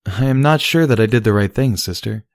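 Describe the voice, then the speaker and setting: A man's voice: lowish voice, brisk, no echo, clean studio sound, voice pushed up, lightly acted. A man voicing a female elder pony princess, anxious and troubled by conscience, with a fretful, formal manner in a storybook reading. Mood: worried.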